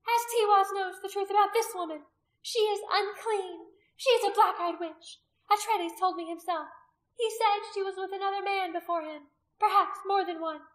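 Shrill, zealous pagan high priestess. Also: female voice, steady tone, moderate pace, roomy sound. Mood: venomous, accusing. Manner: shrill, imperious, accusing declamation. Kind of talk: dramatic audiobook character acting.

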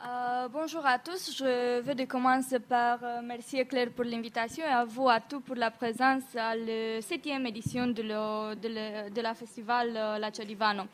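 A woman's voice: on the high side, little pitch movement, normal speed, hardly any pauses. An eighteen-year-old woman from Romania speaking French with a Romanian accent, careful and hesitant, with many fillers. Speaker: conference panelist. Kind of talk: public talk in a second language.

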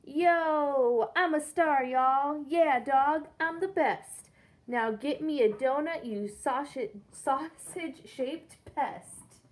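A woman voicing a vain, bossy male pug who boasts and demands in drawn-out words. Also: sits high, pitched above the performer's own voice. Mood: swaggering.

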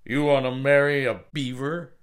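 A man's voice: medium pitch, flat delivery, normal speed, lightly acted. A man voicing a disapproving father tree in a storybook tale, blunt and incredulous.

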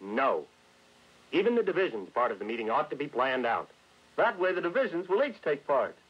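An American man playing a brisk, commanding creative producer in a staged 1960s industrial film. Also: on the high side, very animated. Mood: decisive. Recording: echoey hall, dull vintage film soundtrack.

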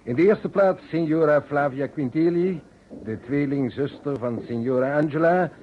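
An actor playing a formal, hospitable sculptor in a vintage Dutch radio play, with measured speech. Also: male voice, fairly high pitch, lightly acted. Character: formal, hospitable Russian sculptor hosting a weekend. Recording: dull, noisy old radio recording.

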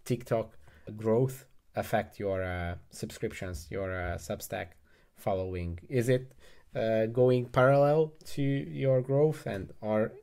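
A man's voice: lowish voice, takes it slowly, creaky, dark tone. A young man hosting a casual interview over a video call with earbuds in, asking probing questions. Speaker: interview host. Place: indoors, home room with gaming chair.

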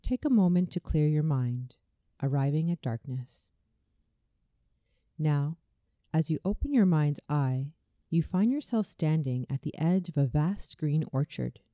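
A woman reading a scripted guided visualization in a soothing, deliberate way, as a meditation narrator. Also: low voice, lively intonation, warm tone, no room sound, clean studio sound. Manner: soothing, slow, deliberate delivery.

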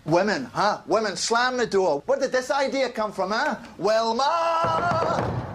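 A man's voice: high voice, animated, normal speed. An actor playing a ferociously angry government spin doctor, a profane and sarcastic Scottish man in a scripted screen satire. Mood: furious. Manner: profane, menacing, sarcastic, bullying. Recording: noisy location film or TV sound.

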